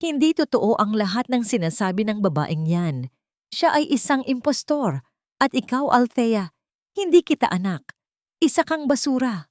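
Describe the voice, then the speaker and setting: An enraged middle-aged crime boss, acted by a woman narrator in an audio love drama. The voice climbs high and loud, spitting contempt and threats in a close, dry recording. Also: sing-song, normal speed, no room sound. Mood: furious, contemptuous. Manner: shouting accusations with contempt.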